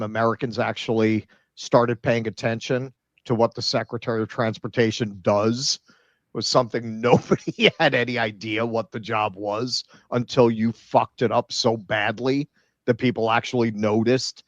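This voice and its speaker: A man co-hosting a political show, giving casual, sardonic commentary with halting, repeated starts. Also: medium pitch, no echo, dull audio. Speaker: political talk show co-host.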